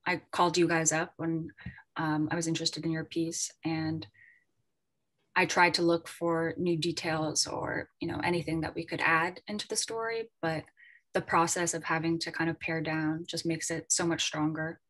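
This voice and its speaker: A woman's voice: fairly low pitch, fairly bright tone. A woman moderating an online panel as an editor, casual, tentative and appreciative, heard over a video call.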